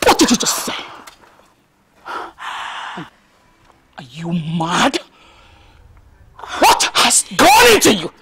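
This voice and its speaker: A woman's voice: medium pitch, wildly swooping pitch, very slow, vocal fry, pauses often, fairly bright tone, husky, full character voice. An actress playing a stern widowed mother, forbidding her daughter a romance in a melodrama. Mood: outraged. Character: stern widowed mother, middle-aged, bitter and commanding. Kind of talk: melodrama acting.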